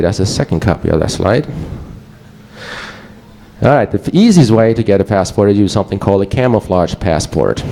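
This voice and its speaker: A man's voice: fairly low pitch, warm tone, a little hiss or hum. A man with a Swedish accent giving a casual, joking conference presentation to a live audience. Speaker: conference speaker.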